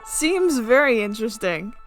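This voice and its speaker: A woman with a lightly high voice narrates a game playthrough at a very unhurried pace. She reads the text aloud and comments casually, with an excitable, giggly manner. Soft music plays behind her. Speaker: gaming streamer playing a visual novel.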